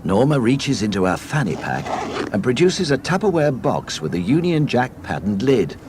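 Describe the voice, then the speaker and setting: A man narrating a scripted read-along storybook in a deliberate, deadpan way. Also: mid-range voice, background noise.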